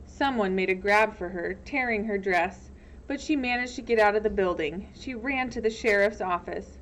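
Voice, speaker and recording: A woman's voice: mid-range voice, moderate pace, fairly bright tone, slight background noise, rough recording. A woman reading a children's novel aloud in scripted storybook narration. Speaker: audiobook reader.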